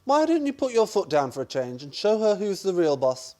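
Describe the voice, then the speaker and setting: A wry, scheming son-in-law in a comic radio play, acted out by a performer. The delivery is theatrical and exclamatory, leaping up and down in pitch with sardonic relish. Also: very animated, fairly quick, dark tone, lightly acted. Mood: jovial. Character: wry, scheming son-in-law who scorns his mother-in-law. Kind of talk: stage-comedy radio play acting.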